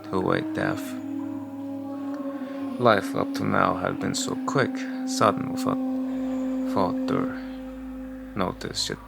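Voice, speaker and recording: A man plays a weary priest brooding through a dark fantasy audio drama. His voice is low and full of gravel, and he talks slowly and somberly, with music behind him. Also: huge pitch swings, heavily acted. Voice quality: deep, gravelly. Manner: slow, somber, halting. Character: doubting male priest, weary, haunted, brooding.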